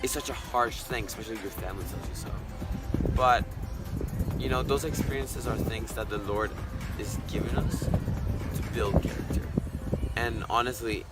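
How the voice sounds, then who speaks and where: A man's voice: mid-range voice, moderate pace, pauses often, poor audio. An earnest, reflective eighteen-year-old man from Costa Rica, sharing his story and thinking aloud as he gives a word of encouragement. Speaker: interviewee. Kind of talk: personal testimony, word of encouragement. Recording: loud background music. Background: loud music.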